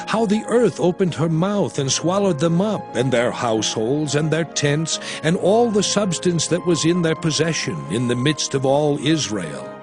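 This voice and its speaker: A solemn prophet who speaks slowly and deliberately, his tone rising and falling widely as he exhorts his people. The voice sits fairly high for a man, with soft music underneath. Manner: declamatory, measured, solemn. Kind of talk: dramatized scripture reading.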